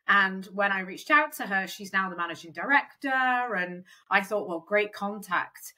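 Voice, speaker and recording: Bright-voiced woman, chatty guest. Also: medium pitch, expressive, moderate pace. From Wales. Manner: chatty, lots of fillers like "kind of" and "um". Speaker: recruitment company founder, guest. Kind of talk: conversational interview.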